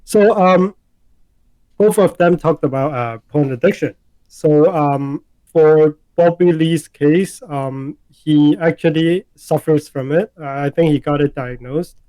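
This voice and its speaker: A man co-hosting a casual podcast chat, talking in halting bursts, repeating words and filling gaps with um and uh. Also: fairly high pitch, mellow, no room sound, poor audio. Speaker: podcast co-host.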